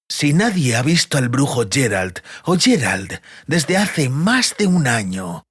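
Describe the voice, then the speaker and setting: A man performs a sadistic male interrogator from a fantasy audiobook. He speaks slowly and deliberately, with lively rises and dips. The manner is coldly menacing, sneering and mocking. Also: fairly high pitch, breathy edge, full character voice.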